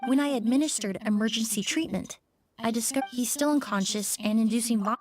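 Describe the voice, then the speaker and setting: Casting: composed young female game apprentice, acted by a woman, fairly high voice, polite and devoted, light music behind. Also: brisk, darkish tone, lightly acted. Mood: serious, composed. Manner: courteous, precise, earnest. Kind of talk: video game voice acting.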